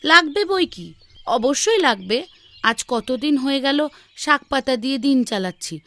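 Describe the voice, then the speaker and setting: A village woman from a children's cartoon. Her voice is very high and bright, with expressive, emphatic delivery. It is recorded dry with music underneath. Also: moderate pace, no echo, exaggerated voice. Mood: plain, concerned. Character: village women: maid, mistress, wife, daughter. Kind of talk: Bengali children's cartoon voice acting.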